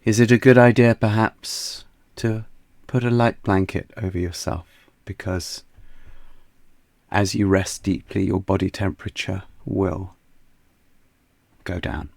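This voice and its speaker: A man leads a guided yoga nidra meditation, his low voice gentle and soothing, with a gravelly edge. He speaks very slowly, letting each phrase settle, and at times his voice drops almost to a whisper. The recording is dry and close, with no room sound. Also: steady tone, dry recording. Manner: soft, soothing, unhurried guidance.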